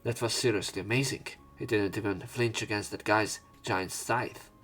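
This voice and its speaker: A man narrates a visual novel as a let's play host. His voice is fairly low, and he moves between dramatic reading and casual commentary over game music. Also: fairly even intonation, moderate pace, fairly bright tone. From Hungary. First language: Hungarian.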